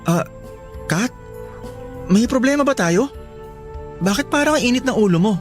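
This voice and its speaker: A man playing a sweet, eager young college boyfriend in a Filipino radio drama, gentle and a little hesitant. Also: very high-pitched, animated, normal speed, music in the background. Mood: puzzled, coaxing.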